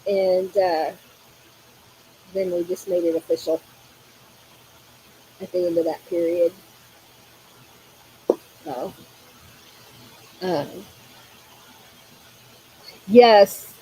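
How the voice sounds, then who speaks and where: A woman hosting a crafting livestream, chatting casually and reading comments aloud. She is a bit hoarse from allergies, uses a lot of fillers and often breaks into laughter. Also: fairly low pitch, fairly even intonation, extremely slow, pauses often, mellow, a little hiss or hum, poor audio.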